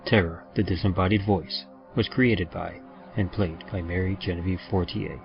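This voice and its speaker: A man giving a measured, formal scripted read as a podcast announcer. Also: low-pitched, fairly even intonation, creaky. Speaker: podcast announcer reading credits. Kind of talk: scripted narration. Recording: slightly dull, music underneath.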